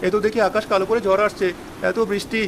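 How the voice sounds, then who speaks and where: A woman's voice: mid-range voice, flat delivery, fast talker, heavy background noise, close to an everyday voice. A woman voicing a sad, humble young village boy in a children's fairy-tale cartoon, earnest and pleading. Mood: sorrowful. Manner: plaintive, pleading. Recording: music under the voice.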